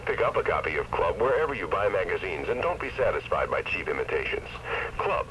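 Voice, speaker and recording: A man's voice: low-pitched, very animated, a little fast, pushed and bright. A man reading a scripted 1970s radio advertisement as a commercial announcer. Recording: dull hissy 1970s AM radio cassette.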